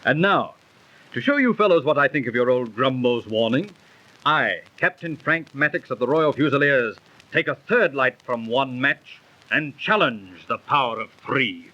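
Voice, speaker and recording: An actor playing a dashing, devoted young British army captain who scorns superstition, in the theatrical style of thirties radio drama. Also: male voice, medium pitch, lively intonation, normal speed, bright, forceful tone. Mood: defiant. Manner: ardent, theatrical, gallant. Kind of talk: 1930s radio drama acting. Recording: muffled old 1930s radio recording.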